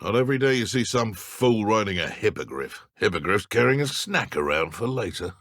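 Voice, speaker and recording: Gravelly, forceful British dark wizard thug. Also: male voice, lowish voice, lively intonation, brisk, dry recording, studio quality. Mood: sneering menace. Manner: theatrical, sneering, threatening. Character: menacing male dark wizard poacher, gruff, taunting villain thug. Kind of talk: video game combat voice lines.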